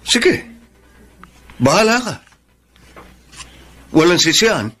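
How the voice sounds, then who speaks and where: A man's voice: high voice, very animated, takes it slowly, fairly bright tone, breathy edge, full character voice. A man playing a pushy, impatient young boyfriend in a Filipino radio drama, insistent and persuasive. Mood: sulky, insistent. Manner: pressuring, insistent.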